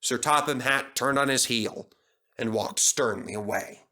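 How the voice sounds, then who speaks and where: A man's voice: medium pitch, unhurried, sharp tone. A man narrating a scripted children's story as the storyteller.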